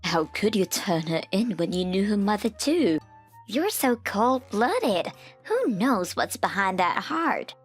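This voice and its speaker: A woman's voice: medium pitch, very animated, takes it slowly, breathy edge, faint music behind, full character voice. A woman voicing a reproachful, scornful neighbor in a comic dub.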